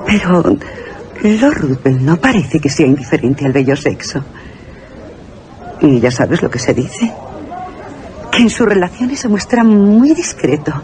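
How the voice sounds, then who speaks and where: A gossiping London society lady in a radio play. Her delivery is theatrical and insinuating, swinging widely in pitch, with a faint murmur of people behind. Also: very animated, a little slow, long pauses, darkish tone, some chatter behind. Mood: intrigued, gossipy. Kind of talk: Spanish radio theatre acting.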